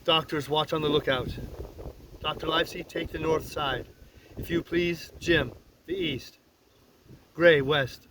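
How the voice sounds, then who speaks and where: A man's voice: on the high side, steady tone, measured pace, pauses often, noisy background, close to an everyday voice. A man voicing a stern, suspicious ship's captain in an audiobook, giving curt, formal, scornful commands. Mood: brisk command. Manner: barked orders, curt and formal. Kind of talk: audiobook character voice.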